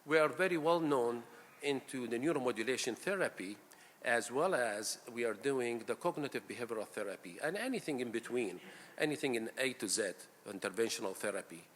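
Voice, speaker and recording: A middle-aged man from Iraq giving a conference lecture as a pain physician, speaking in a halting way with many fillers, gracious toward his hosts. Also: medium pitch, expressive, fairly bright tone. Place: large lecture hall. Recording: reverberant hall, faint room noise.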